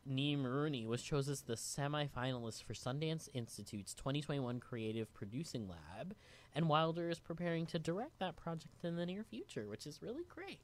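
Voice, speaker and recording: A man's voice: on the high side, expressive, brisk. Casual male co-host, born in Venezuela, chatty and loose with his words, reading a guest's background between asides. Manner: casual, filler-heavy, reads bio notes aloud. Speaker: podcast co-host. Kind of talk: casual chat.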